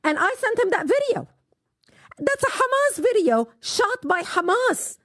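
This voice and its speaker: Impassioned high-voiced woman from Lebanon. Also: very animated, a little slow, fairly bright tone, dry recording, clean studio sound. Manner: impassioned, emphatic storytelling. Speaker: guest. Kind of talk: personal testimony in an interview.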